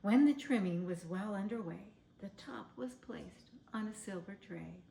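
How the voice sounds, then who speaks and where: A woman reading a children's story aloud in a theatrical, measured, expressive way. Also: medium pitch, vocal fry. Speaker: storybook reader. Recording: roomy, echoing sound.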